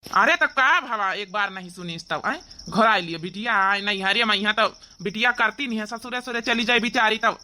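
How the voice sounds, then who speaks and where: A woman's voice: mid-range voice, brisk, pushed and bright, a little hiss or hum. A woman voicing a doting, stubborn village father in an Awadhi-accented cartoon comedy, easygoing but firmly protective of his daughter.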